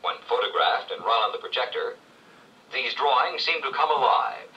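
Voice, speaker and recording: A man reading scripted 1970s educational narration with measured, clear storytelling phrasing. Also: medium pitch, sing-song, pushed and bright, far from the mic, muffled recording. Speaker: read-along narrator. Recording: old read-along played back from afar, faint noise.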